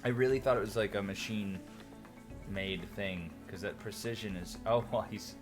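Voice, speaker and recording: Loud animated male streamer over music. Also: on the low side, moderate pace. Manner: animated, exclaiming, reacting to chat; opinionated rambling storyteller. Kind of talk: casual livestream chat. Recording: music playing clearly behind voice.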